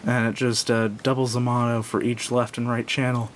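Male reviewer, medium pitch, casual and unscripted, halting and self-correcting, home recording with slight rumbling noise. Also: completely flat delivery. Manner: hesitant, rambling, self-correcting. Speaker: product reviewer. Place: indoors at a wooden table.

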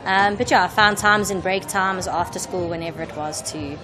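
A woman choreographer explaining her teaching in a relaxed way, with frequent ums. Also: fairly low pitch, normal speed, rough recording. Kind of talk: casual interview.

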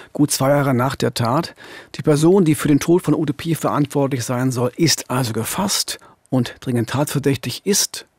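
A man in his fifties with a slightly husky voice co-hosts true-crime storytelling in a conversational way, close on a studio microphone. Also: mid-range voice, darkish tone, dry recording. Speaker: true-crime podcast co-host. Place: dark radio studio. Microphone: studio microphone on boom arm, headset monitoring.

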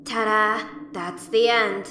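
A woman's voice: mid-range voice, steady tone, slow pace, noisy background, close to an everyday voice. A woman voicing a cheerful little child ghost who loves scary stories, cutesy and gleeful, in a comic dub. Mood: gleeful, playful. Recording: roomy echo under loud music.

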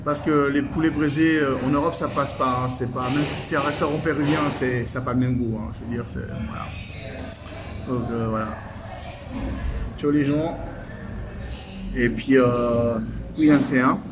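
A man's voice: mid-range voice, fairly even intonation, a little slow, pauses often, background noise. A man in his thirties vlogging casually to his phone camera at arm's length in a small restaurant, chatty and full of fillers. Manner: chatty, rambling, many fillers. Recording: phone video with crowd chatter.

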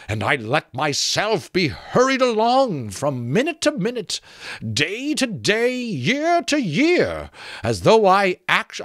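Man putting on an animated, high-pitched talking skull. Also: no echo, clean studio sound, heavily acted. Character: talking skull, cackling, wheedling, craving wine, worldly-wise.

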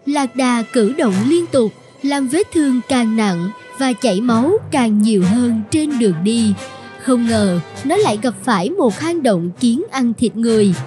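Female narrator, mellow, slightly high pitch, scripted children's storytelling. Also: animated, measured pace, faint music behind.

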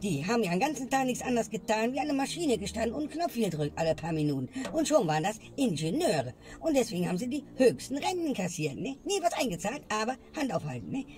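A woman's voice: fairly high pitch, very animated, fast, few pauses, a little mellow. Grumbling woman, music. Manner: grumbling, tags lines with "ne?". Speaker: comedy sketch character. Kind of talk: ranting comedy dialogue. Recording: music bed behind voice.

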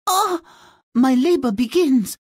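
A woman voicing a gentle, melodramatic fairy-tale queen in a video game, with a breathy voice. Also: high-pitched, animated, takes it slowly, no room sound, clean studio sound, heavily acted. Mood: tender, straining. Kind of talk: video game character acting.

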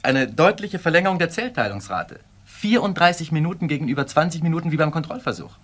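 An actor playing an eager, polite research scientist in a radio crime drama, with an earnest way of talking. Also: male voice, fairly high pitch, animated, quick pace, fairly bright tone. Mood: enthusiastic. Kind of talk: naturalistic German radio crime drama acting.

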